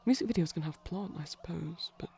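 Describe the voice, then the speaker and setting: A young adult performer playing a shy, nerdy boyfriend in a casual, soft-spoken ASMR ramble, enthusiastic but hesitant. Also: mid-range voice, fairly quick, creaky, slightly rough.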